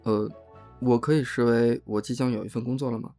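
An actor playing a reserved, polite young man who speaks softly and hesitantly in an audio drama. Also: mid-range voice, steady tone, brisk, soft background music, close to an everyday voice. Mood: polite, restrained. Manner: hesitant, courteous, restrained. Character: soft-spoken, reserved, polite young man seeking work. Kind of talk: Chinese audio drama acting.

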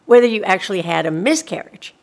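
A woman's voice: mid-range voice, expressive, a little fast. A female social worker in a radio play. She speaks with careful politeness and an officious edge. Her manner is evasive and soothing, yet she keeps pressing. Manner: politely insistent, bureaucratic, soothing reassurances. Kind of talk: scripted audio drama dialogue.

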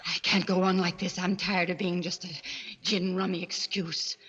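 A woman's voice: lowish voice, level tone, normal speed, a bit bright, breathy edge. An actress playing a scheming, domineering mistress who urges her lover to murder, theatrical and commanding in 1940s radio mystery style. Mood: urgent, coaxing. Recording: muffled old radio recording, long reverb, faint noise.

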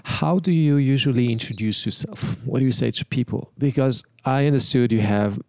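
Host guy with a gravelly lowish voice, reads the guest intro then chats, dry close sound. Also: normal speed, a little mellow, no room sound. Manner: reads a formal guest introduction, then asks rambling questions. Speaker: podcast host. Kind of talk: scripted intro then casual interview.

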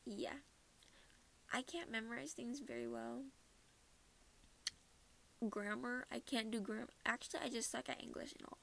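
A woman's voice: on the high side, a little slow, vocal fry, long pauses, fairly bright tone, a little hiss or hum. A teenage girl from Minnesota chatting casually about her month, rambling and laughing often. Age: teens. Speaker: podcast host. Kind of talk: casual personal chat.

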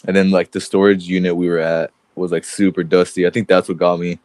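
Man, podcast co-host, congested, joking, laughs often, low-ish pitch, dry sound. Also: little pitch movement, brisk, a little mellow, dry recording. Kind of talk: casual chat.

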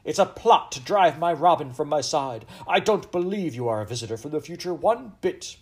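Man voicing imperious, loud Elizabethan queen. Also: mid-range voice, sing-song, normal speed, voice pushed up, natural voice. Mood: furious, suspicious. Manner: commanding, archaic royal speech. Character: imperious, hot-tempered, lovestruck Elizabethan English queen. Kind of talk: storybook character voice.

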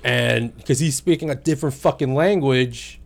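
A man's voice: medium pitch, moderate pace, gravelly. A young man telling stories in a casual chat, swearing freely and laughing often. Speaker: podcast guest.

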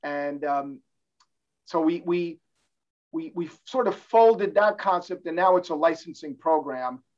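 A man's voice: a little high, unhurried, darkish tone. Middle-aged man, muffled, explaining. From New Jersey, born in Cuba. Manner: explanatory, frequent restarts. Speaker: guest, orthopedic hand surgeon. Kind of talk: casual interview talk. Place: office in his medical building.

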